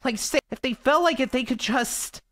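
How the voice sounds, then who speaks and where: A woman voicing a perky, chatty young squad member in a visual novel, casual and expressive. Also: high-pitched, normal speed. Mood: eager.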